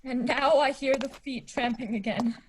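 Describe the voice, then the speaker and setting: A woman's voice: sits high, steady tone, normal speed, slight background noise, close to an everyday voice, low-quality recording. A young woman playing a frightened young male traveler who reads out his diary in a student radio play. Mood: fearful. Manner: earnest diary narration, rising to panic.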